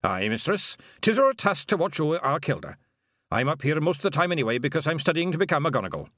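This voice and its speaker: A man voicing an eager young male pixie with a Scottish accent, talking high and chirpy. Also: big pitch swings, fast, a bit bright, no echo, clean studio sound.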